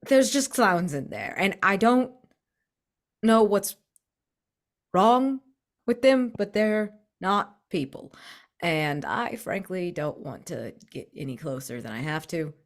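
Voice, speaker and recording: A woman's voice: on the low side, animated, takes it slowly. A woman playing a tabletop roleplaying game, improvising in character with a dry, exasperated manner, trailing off.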